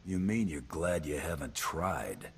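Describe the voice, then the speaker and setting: An actor playing a hard-bitten team leader in a video game, terse, dry and sardonic, with an air of threat. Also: male voice, very deep voice, sing-song, unhurried, creaky, a little hiss or hum, heavily acted. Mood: dry, wary. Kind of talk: video game character acting.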